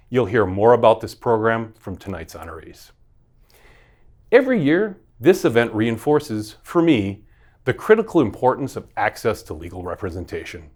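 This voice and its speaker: A man hosting an event, delivering a formal prepared speech in a measured, official way. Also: lowish voice. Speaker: event host.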